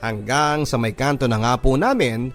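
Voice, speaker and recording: A Filipino man tells a dramatic first-person love story in a mid-range voice. His delivery is measured and emotive, like a scripted radio reading, with music playing clearly behind him. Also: medium pitch, a bit bright.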